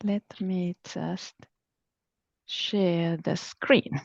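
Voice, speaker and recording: A woman's voice: fairly low pitch, fairly even intonation, extremely slow, creaky, no echo, lo-fi sound. Woman on a dry video call. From Finland. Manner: flustered by tech trouble, murmuring to herself. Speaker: startup founder. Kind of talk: casual talk on a video call.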